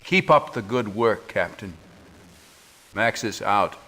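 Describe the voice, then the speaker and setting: A man's voice: lowish voice, expressive, takes it slowly, over music. A middle-aged man playing a stern military commander, speaking formally and officially in a video game cutscene briefing. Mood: formal, reserved.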